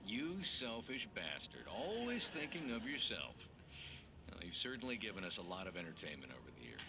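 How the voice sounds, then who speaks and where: A man voicing a brash, smooth-talking talk show host in a video game, showy and teasing with mock candour. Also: on the low side, huge pitch swings, normal speed, very noisy, exaggerated voice.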